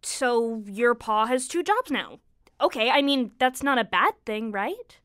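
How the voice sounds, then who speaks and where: A woman playing a caring, fretful young girl in a nostalgic period audio drama, gentle, hesitant and reassuring with a friend. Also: a little high, expressive, unhurried, no room sound, studio quality. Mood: gently reassuring.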